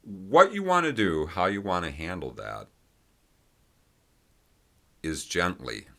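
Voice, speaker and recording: A man leading a guided meditation with gentle, unhurried instructions and long pauses between phrases. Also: deep voice, sing-song.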